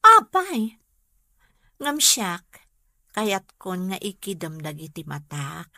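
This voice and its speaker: A woman's voice: mid-range voice, huge pitch swings, slow pace, sharp tone, no room sound, full character voice. A woman playing a sensible, dutiful young working woman in an Ilocano radio comedy-drama, firm and matter-of-fact, with an Ilocano accent.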